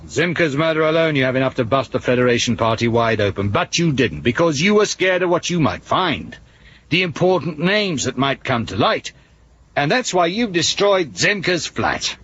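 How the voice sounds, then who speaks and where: A man's voice: fairly high pitch, sing-song, moderate pace, a bit bright, breathy edge, full character voice. An actor playing a dogged, indignant male police inspector who argues insistently, in a 1970s British radio drama. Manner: insistent, accusatory. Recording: dull, lightly noisy 1970s radio recording.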